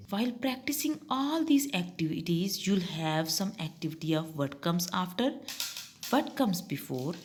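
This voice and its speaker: Slow, sing-song woman teacher. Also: lowish voice, a little mellow, slight background noise. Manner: slow, sing-song and enthusiastic, spelling words out letter by letter. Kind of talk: explaining a summer task guideline to young pupils.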